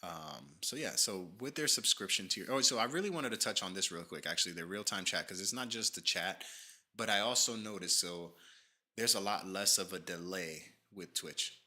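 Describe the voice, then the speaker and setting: Man, low voice, bright tense tone, slightly nasal, rambling casual stream talk. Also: normal speed. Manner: rambling, many fillers and restarts. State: allergies. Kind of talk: casual livestream commentary, reading from a page.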